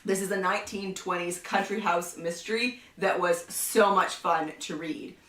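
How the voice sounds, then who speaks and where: A woman with a cold hosting a casual book review, chatty, often laughing and clearing her throat. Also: fairly low pitch, fairly even intonation, normal speed, a bit bright. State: has a cold. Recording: roomy echo, somewhat far from the mic.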